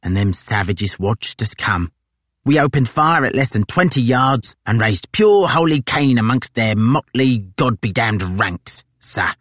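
A man voicing a big, brash Marine sergeant who talks in a loud, boastful, rough working-class way. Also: mid-range voice, animated, a little slow, no echo. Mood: gloating.

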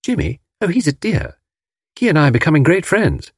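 A man voicing a lively, cheeky young woman who teases playfully. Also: on the high side, a little fast, slightly rough, no room sound, studio quality, pitched above the performer's own voice, full character voice. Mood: teasing. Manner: bright, playful, teasing.

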